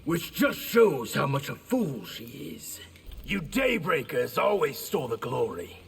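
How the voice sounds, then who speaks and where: A man's voice: mid-range voice, very animated, measured pace, vocal fry, a little hiss or hum. An actor playing an arrogant male rebel warrior in a fighting game, boastful and contemptuous. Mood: scornful. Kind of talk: video game fight intro dialogue. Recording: long hall reverb, music behind.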